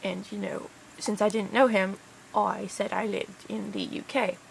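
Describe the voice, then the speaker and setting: A woman's voice: mid-range voice, little pitch movement, a little slow, vocal fry, a little hiss or hum, poor audio. A young woman from Canada rambles casually in a put-on British accent, sounding tired, with crude slang and a hesitant manner.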